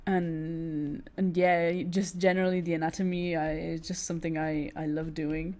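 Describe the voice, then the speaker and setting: A woman's voice: deep voice, little pitch movement, normal speed, a little mellow. A woman with a British accent, born in Italy, chatting casually with her stream while she draws, giggling often and restarting her sentences. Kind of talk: casual chat while drawing.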